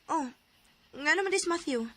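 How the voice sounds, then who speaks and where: A woman's voice: sits high, expressive, moderate pace, bright, forceful tone, no echo. An actress with a Cebuano accent playing an emotional young woman in a radio drama. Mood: curious, puzzled. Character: emotional young woman hurt by her boyfriend.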